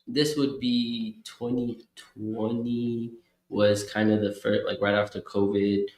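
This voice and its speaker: A young man speaks in a somewhat low voice, chatting loosely and circling back with fillers and restarts. The room sounds echoey around him. He talks as a guest describing his community work. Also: flat delivery, slow pace, darkish tone. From Kenya. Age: young adult. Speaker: guest, community program worker. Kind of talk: casual interview chat. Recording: roomy echo.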